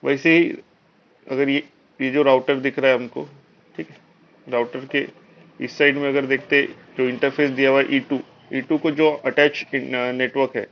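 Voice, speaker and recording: A man with an Indian accent giving a live technical lecture as an instructor, explaining step by step with frequent check-in tags and mixing in English technical terms. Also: mid-range voice. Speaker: networking instructor. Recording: dull, lo-fi online class audio.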